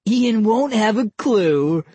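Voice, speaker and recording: A cocky prankster boy, as a narrator acts him out, mocking his gullible neighbor. The voice sits well above the reader's own and moves a lot. Dry and a little husky. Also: medium pitch, lively intonation, slow, dark tone, no echo, pitched above the performer's own voice.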